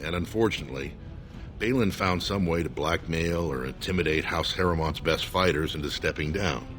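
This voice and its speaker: Deep-voiced formal dwarf noble. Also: male voice, fairly bright tone, soft background music. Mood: guarded, courteous. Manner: measured, formal, diplomatic. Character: formal male dwarf noble's second-in-command, guarded, courteous. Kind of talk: scripted video game dialogue.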